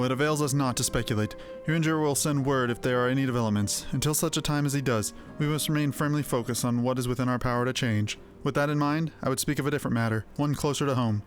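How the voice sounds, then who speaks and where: A man's voice: mid-range voice, lightly acted. A man playing an earnest, dutiful member of a fantasy game order, speaking in formal, old-fashioned phrases. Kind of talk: dramatic fantasy game dialogue.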